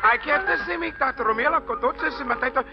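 A very high-pitched man clowns through a half-sung 1940s radio number, slipping between mock-Italian patter and jive talk. Loud music plays along with him, and the old recording is dull. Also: fast talker. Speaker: singing comic performer.

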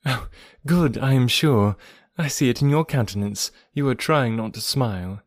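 A man voicing a lively, eager young gentlewoman with emphatic, genteel speech in an audiobook. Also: mid-range voice, normal speed, no echo, studio quality, lightly acted. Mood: curious, teasing. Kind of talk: audiobook character dialogue.

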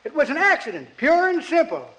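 An actor playing a cheerful, rambling old codger with folksy speech in a 1940s radio comedy. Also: male voice, extremely high pitch, wildly swooping pitch, brisk, a bit bright, heavily acted. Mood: rambling, amused. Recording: noisy vintage radio recording.

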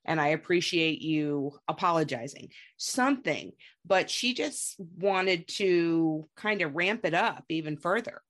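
Woman, low voice, podcast host, casual reality-show recap, chatty, gossipy. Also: animated, a little slow, hardly any pauses, fairly bright tone.

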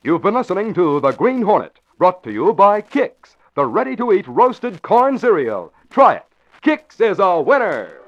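A man's voice: high voice, sing-song, unhurried. A man announcing and narrating from a script in an emphatic, polished 1940s radio style. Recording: dull lo-fi old radio recording.

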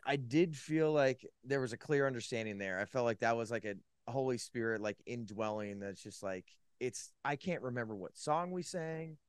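A man's voice: mid-range voice, smooth, no echo, studio quality. A man from California, a podcast guest, telling his faith story in a casual, rambling way with many "likes" and restarts. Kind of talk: casual personal testimony.

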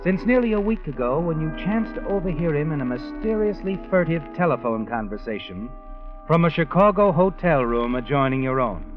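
An actor playing a sinister, all-knowing narrator in a 1940s radio mystery, ominous and insinuating in manner. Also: male voice, a little high, animated, brisk, lightly acted. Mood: insinuating, suspenseful. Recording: dull old radio recording with music.

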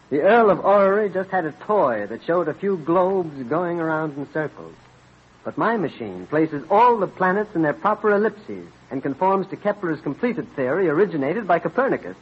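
An actor playing an earnest, absorbed colonial astronomer husband in an old-time radio drama, explaining eagerly with mild hesitations. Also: male voice, a little high, big pitch swings, normal speed, slightly rough. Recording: dull vintage radio recording.

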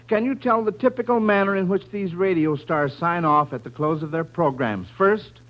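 Male quiz show host, high voice, old-time radio style, affirming and repetitive, talking to kids, muffled vintage broadcast. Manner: repeats and affirms the contestants' answers.

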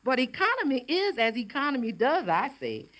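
An actress playing a folksy, opinionated housekeeper in a 1940s radio commercial, speaking emphatically. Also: female voice, high voice, big pitch swings, moderate pace, a bit bright. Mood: cheerfully emphatic.

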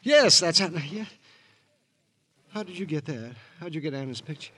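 An actor playing a distraught, bitter American husband in a 1950s radio drama, husky and agitated, repeating himself with emotion. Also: male voice, a little high, animated, moderate pace, sharp tone, slight background noise. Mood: anxious, alarmed. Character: distraught, guilt-ridden, bitter husband of a murdered woman.

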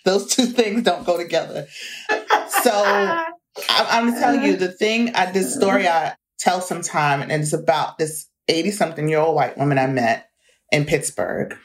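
A woman's voice: lowish voice, moderate pace. A woman in her forties, talking as an interview guest in a reflective, earnest way that breaks into easy laughter. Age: 40s. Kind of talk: candid conversational interview.

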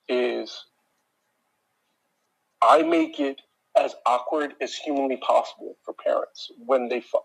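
Deep-voiced man ranting, slightly husky. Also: rough recording. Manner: exasperated ranting storyteller, talks to listeners. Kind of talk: casual chat.

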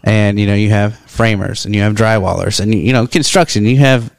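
A man's voice: fairly low pitch, steady tone, fairly quick, creaky, dry recording, studio quality. A young man co-hosting a casual podcast, telling stories in a loose, rambling way full of "like" fillers. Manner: rambling storyteller, lots of "like" fillers. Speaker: podcast co-host. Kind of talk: casual chat.

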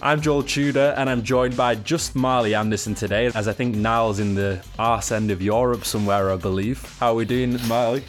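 A man's voice: medium pitch, steady tone, normal speed, hardly any pauses, soft background music. A man hosting a football podcast, presenting and discussing the sport with a co-host.

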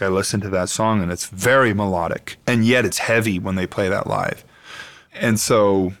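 Gritty-voiced male singer, low pitch. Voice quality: gritty baritone. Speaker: band singer and songwriter. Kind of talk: interview answer.

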